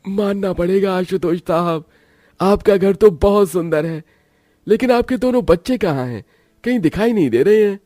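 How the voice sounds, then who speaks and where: Casting: man as formal cartoon businessman guest, raised pitch, very soft dark tone, emphatic. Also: normal speed, no echo, clean studio sound, close to an everyday voice. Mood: polite. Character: adult male business guest, polite but easily offended. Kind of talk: Hindi cartoon moral story voice acting.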